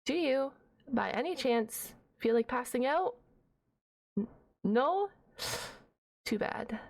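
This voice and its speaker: A woman's voice: high-pitched, animated, slow pace, a little mellow, exaggerated voice. A woman playing a shy, nerdy half-dragon librarian in an ASMR roleplay, stammering and wordy, awkwardly defensive and a stickler for rules. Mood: flustered, defensive. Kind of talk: ASMR roleplay audio drama.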